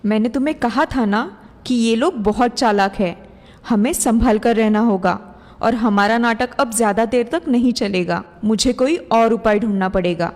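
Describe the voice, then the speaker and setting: A woman's voice: fairly high pitch, moderate pace, close to an everyday voice. Woman as scheming stepmother sorceress in cavernous reverb. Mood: worried, plotting. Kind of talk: dramatised children's fairy tale acting. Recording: long hall reverb, music behind.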